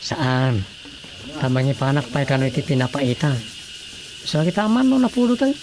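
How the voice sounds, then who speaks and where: A woman's voice: a bass voice, very animated, normal speed, a crowd in the background. A woman acting a tipsy, grumbling drinker in an Ilocano radio drama comedy. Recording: faint background noise.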